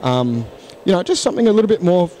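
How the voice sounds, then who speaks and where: A man's voice: high voice, big pitch swings, fast talker, dark tone. A man, a guest in a casual interview, talking frankly and thoughtfully with many restarts, into a handheld mic at a conference. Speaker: interview guest, English teacher. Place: conference hall lounge, indoors.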